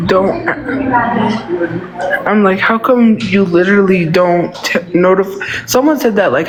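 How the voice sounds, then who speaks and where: A man's voice: high voice, a little hiss or hum, low-quality recording. A man in his thirties with a congested nose, rambling and venting in casual livestream chat. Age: 30s. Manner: rambling, venting, repeats himself.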